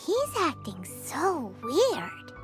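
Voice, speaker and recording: Very high, squeaky childlike fairy companion. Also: female voice, huge pitch swings, extremely slow, music in the background, heavily acted. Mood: puzzled. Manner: blunt, refers to herself in third person. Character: tiny floating childlike companion, squeaky, blunt and skeptical. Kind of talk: video game character dialogue.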